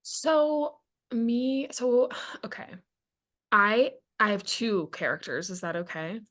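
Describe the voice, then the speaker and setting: A woman's voice: fairly high pitch, takes it slowly, a bit bright. A woman co-hosting a casual comedic book chat, playful and sweary, sounding a little stuffy from a cold. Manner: playful, profane, mock-whiny. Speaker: podcast co-host.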